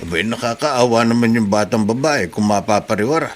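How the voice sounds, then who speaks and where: A man's voice: medium pitch, brisk, slight background noise, natural voice. An actor with a Filipino accent playing a concerned, suspicious workman who speaks earnestly in a radio drama. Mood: worried, confiding. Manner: earnest, confiding. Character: concerned, suspicious male auto-shop worker, adult.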